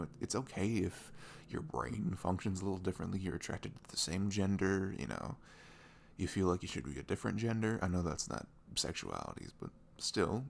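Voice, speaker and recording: A man playing a sweet, caring young boyfriend in an intimate ASMR roleplay, chatting casually and rambling in a velvety low voice. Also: vocal fry, breathy edge, full character voice. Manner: chuckling, informal, loosely rambling.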